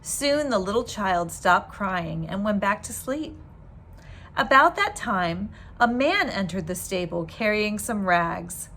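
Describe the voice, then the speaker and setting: A woman reading a children's storybook aloud in an expressive, deliberate storytelling manner. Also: mid-range voice. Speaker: teacher reading a book aloud.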